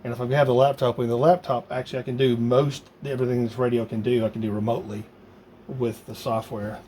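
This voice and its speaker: A man talking casually and haltingly, thinking aloud as he works through a hands-on project. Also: mid-range voice, flat delivery. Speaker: hobbyist maker demonstrating a DIY project. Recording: loud background noise.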